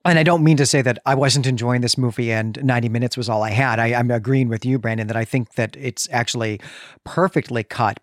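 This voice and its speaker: A man's voice: medium pitch, no room sound, clean studio sound. A man co-hosting a podcast, talking about film in a conversational, analytical way. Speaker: podcast co-host. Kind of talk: casual film discussion.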